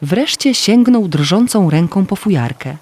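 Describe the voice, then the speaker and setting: A man narrating a scripted fairy tale as an expressive, literary storyteller. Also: high voice, a little slow, background noise. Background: faint music.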